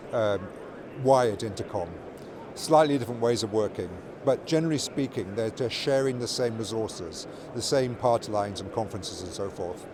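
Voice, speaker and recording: A middle-aged or older man giving an informal interview as a company product executive, explaining things with repeated words and restarted phrases. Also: medium pitch. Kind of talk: informal trade show interview. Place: busy trade show exhibition hall. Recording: loud trade show floor noise. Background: clear ambient show floor noise.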